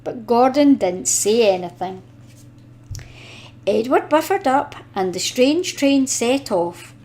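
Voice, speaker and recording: A woman reading a children's storybook aloud in an expressive, deliberate storytelling manner. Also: fairly high pitch. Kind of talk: expressive scripted storybook narration.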